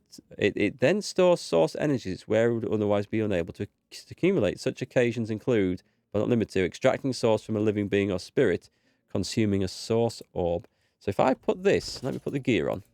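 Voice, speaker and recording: A man's voice: lowish voice, a little mellow, no echo, clean studio sound. A man with a cold and a blocked-up nose, casually commenting on his game as he plays and thinks aloud. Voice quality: slightly blocked, stuffy nose. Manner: easygoing, thinking aloud, reads in-game text. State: recovering from a cold, voice not back. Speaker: gaming video host. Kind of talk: relaxed let's play commentary.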